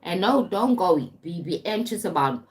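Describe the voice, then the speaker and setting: Low-pitched South African woman, emphatic life coach. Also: expressive, normal speed. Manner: emphatic, repeats phrases, often checks with "right?". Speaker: life coach and motivational speaker livestreaming. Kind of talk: informal motivational livestream talk.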